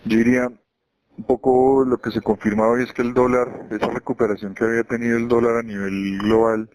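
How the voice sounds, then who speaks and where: A man with a Colombian accent giving financial commentary as an investment executive, in a measured explanatory way with frequent self-corrections. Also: medium pitch, level tone, darkish tone, no room sound, low-quality recording. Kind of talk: market commentary on a conference call.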